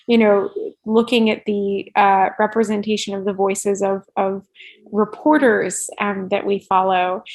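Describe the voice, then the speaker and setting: A woman giving conversational interview answers as an expert guest, informal, often dropping "like" and "right" into her sentences. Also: mid-range voice. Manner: informal, peppers speech with "like" and "right". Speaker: podcast guest, workplace inclusion expert.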